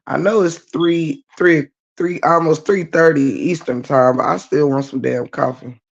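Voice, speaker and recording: A woman with a low, gravelly voice that is extra scratchy today, speaking slowly and easily. She chats with her stream viewers from her bed and greets them one by one. The audio sounds dull and lo-fi. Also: fairly even intonation. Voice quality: low-toned voice. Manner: laid-back, chatty, greets viewers by name. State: scratchy voice after a night of singing. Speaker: livestream host. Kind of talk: casual chat greeting viewers. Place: lying in bed at home.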